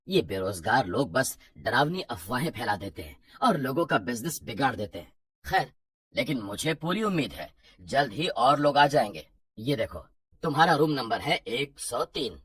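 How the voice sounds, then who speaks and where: Woman doing a low-voiced, brisk male broker. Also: big pitch swings, husky, no echo, exaggerated voice. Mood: dismissive, assured. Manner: matter-of-fact, bossy, dismissive. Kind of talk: animated horror story dialogue.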